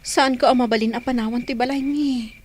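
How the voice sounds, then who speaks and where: A woman's voice: fairly high pitch, steady tone, a little fast, a little mellow, a little hiss or hum, natural voice. A woman with an Ilocano Filipino accent playing a pleading, lovesick sweetheart in a radio drama.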